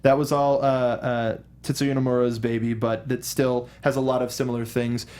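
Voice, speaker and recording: A man co-hosting a casual film discussion, speaking in a halting, rambling way and often circling back to rephrase with enthusiasm. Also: medium pitch, little pitch movement, a little mellow. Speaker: co-host of a film discussion show.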